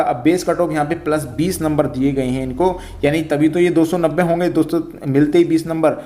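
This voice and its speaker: A man's voice: fairly high pitch, a little mellow. A man teaching exam students, explaining step by step and repeating himself as he goes. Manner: repetitive, step-by-step explaining, often addressing listeners as children. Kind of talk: explanatory lesson addressed to students. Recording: echoey, roomy sound.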